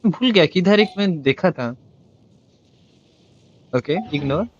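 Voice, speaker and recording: Very fast-talking Indian man, livestreaming. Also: fairly high pitch, expressive, lo-fi sound, slight background noise. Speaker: game livestreamer. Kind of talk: casual live commentary, mixing languages.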